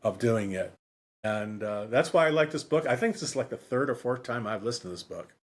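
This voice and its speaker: A man's voice: medium pitch, expressive, fairly quick. A man co-hosting a podcast, talking casually and off the cuff, often repeating himself and rephrasing as he goes. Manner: rambling, repeats phrases, self-correcting. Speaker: podcast co-host. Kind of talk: casual unscripted book discussion.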